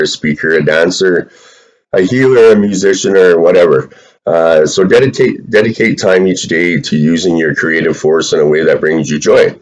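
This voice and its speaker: A man's voice: deep voice, rough recording. A man giving a casual, chatty tarot reading straight to his viewers, with lots of fillers and the odd swear word. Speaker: tarot reader.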